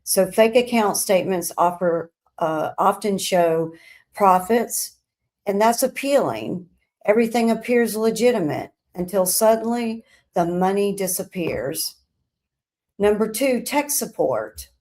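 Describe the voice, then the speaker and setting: A woman hosting a scripted explainer, speaking in deliberate, emphatic phrases with pauses. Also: mid-range voice, animated, darkish tone. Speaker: host of a crime statistics show.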